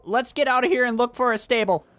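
A man hosting a gaming video, chatting excitedly with friends and urgently directing them. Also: very high-pitched, fast talker, over loud music. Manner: animated, urgent, directing the others. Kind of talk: excited casual gameplay banter with friends.

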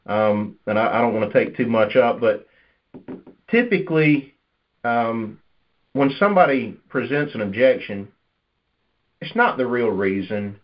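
A man's voice: medium pitch, animated, unhurried, pauses often. A man coaching sales in a conversational, persuasive and explanatory way over a conference call line. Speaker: insurance sales manager and trainer. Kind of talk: conversational sales coaching with role-play pitches. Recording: dull, lo-fi conference call audio.

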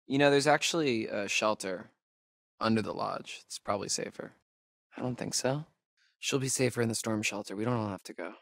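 An actor playing a sarcastic young American camp counselor in a horror video game, hesitant and conversational in delivery. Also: male voice, lowish voice, brisk, creaky, fairly bright tone, no echo, clean studio sound. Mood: uneasy. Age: young adult. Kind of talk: naturalistic horror game dialogue.

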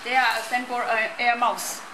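A woman in her thirties with a Chinese accent guides a tour in brief, halting answers. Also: a little high, little pitch movement, sharp tone, roomy sound, poor audio. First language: Mandarin Chinese. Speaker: sales manager guiding a tour. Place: open-plan office and small factory. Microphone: camera held nearby. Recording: clear office and factory noise.

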